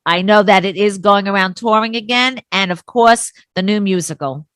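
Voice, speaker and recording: A woman hosting a radio talk show, brisk and steering the interview. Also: mid-range voice, fairly bright tone, clear, resonant tone, no echo, clean studio sound.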